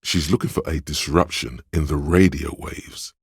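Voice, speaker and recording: A man voicing a brooding, dryly wry inner voice that narrates a detective's thoughts in a video game, gravelly and husky, with measured, deliberate delivery. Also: rumbling low, steady tone, no echo, studio quality, heavily acted. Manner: measured, deliberate, literary second-person narration.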